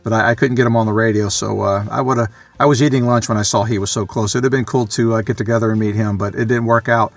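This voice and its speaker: A man's voice: on the low side, fairly even intonation, quick pace, vocal fry, few pauses, soft background music. A man giving a casual, unscripted vlog talk as a hobbyist, rambling and matter-of-fact as he thinks aloud. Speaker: vlogger, amateur radio hobbyist.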